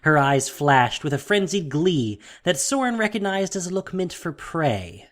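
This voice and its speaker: A man's voice: fairly high pitch, very animated, a little slow, a bit bright. A man reading scripted fantasy fiction as the narrator of an audio drama, in a dramatic storytelling style. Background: faint music.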